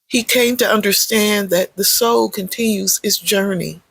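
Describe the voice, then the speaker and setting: A meditation guide with a husky, creaky voice, speaking gently in short, measured phrases with pauses between them. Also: medium pitch, no room sound. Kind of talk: guided meditation, unscripted spoken guidance.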